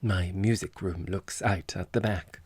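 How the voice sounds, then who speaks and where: A man's voice: low-pitched, steady tone, a little slow, a little mellow, breathy edge, no echo, studio quality, full character voice. A man voicing a genteel, coyly self-important society lady in an audiobook, with precious phrasing and theatrical reluctance. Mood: gracious, affected. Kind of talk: audiobook character dialogue.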